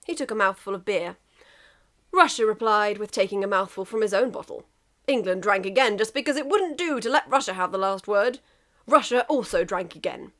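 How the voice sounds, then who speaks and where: Animated woman reading a comic story. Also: mid-range voice, fairly quick. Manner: expressive, emphatic. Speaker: fan fiction reader.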